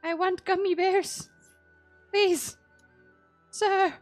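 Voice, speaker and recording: Slow-paced, playful woman livestreamer. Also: very high-pitched, fairly even intonation, soft background music. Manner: playful, giggly, teasing. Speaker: game livestreamer. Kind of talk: casual chat while playing.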